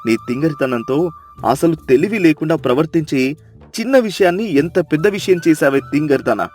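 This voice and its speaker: A woman's voice: on the low side, moderate pace, warm tone, voice pushed down, lightly acted. A woman voicing a short-tempered village husband who scolds his wife in an exasperated way, with faint music behind. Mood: angry scolding.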